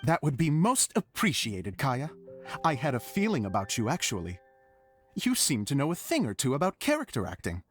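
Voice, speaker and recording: A man's voice: medium pitch, huge pitch swings, normal speed, soft background music. An actor playing an enthusiastic, ambitious male theater director in an anime game, effusive and flamboyant. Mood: impressed, flattering.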